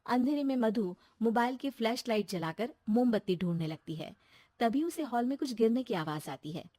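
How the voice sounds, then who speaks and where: A woman's voice: fairly high pitch, animated, moderate pace, dark tone. A woman narrating a scripted children's story in the present tense.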